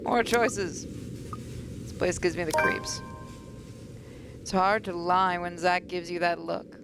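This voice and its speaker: A woman's voice: fairly low pitch, steady tone, slow pace, noisy background. A woman hosting a gaming video, reading a visual novel aloud with asides and occasional stumbles. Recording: voice over game music.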